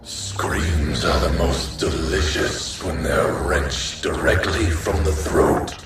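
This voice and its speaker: A man voicing a sinister, sadistic revived villain in a video game, slow and theatrical, savoring his words in a grandiose way. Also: rumbling low. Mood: gloating menace. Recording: echoing, distant, with loud music.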